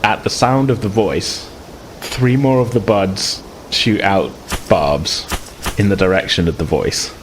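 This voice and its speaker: A man's voice: lowish voice, measured pace, slight background noise, poor audio. A man improvising narration as the game master of a tabletop game, hesitantly piecing his phrases together.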